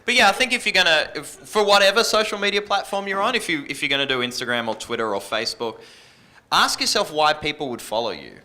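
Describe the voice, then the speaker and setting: Bright-voiced Australian man on a panel. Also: a little high, lively intonation, fast. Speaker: radio presenter on a workshop panel. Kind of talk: casual, informal panel advice. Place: live panel workshop indoors.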